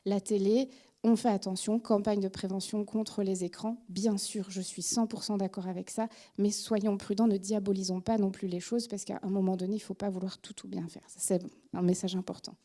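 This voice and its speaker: Woman in her forties from France, French accent with a Belgian tinge, warm mellow tone, chatty psychologist addressing a room. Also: medium pitch, normal speed. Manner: chatty and animated, with many filler words and restarts. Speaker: psychologist giving a public talk on parenting. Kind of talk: informal lecture to an audience.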